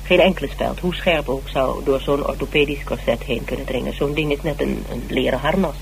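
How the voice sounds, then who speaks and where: A woman's voice: a bass voice, fairly quick, vocal fry. A woman in a Dutch radio drama playing a shrewd, composed investigator with a probing, matter-of-fact manner. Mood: firm, sceptical. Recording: noisy, humming old radio recording.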